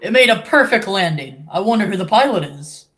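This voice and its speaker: A man's voice: high voice, animated, a little fast, noisy background. Casting: man as young male adventure hero, resolute, earnest, unfussy delivery, old serial style. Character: brave, defiant young male space hero. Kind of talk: amateur old-time radio serial acting.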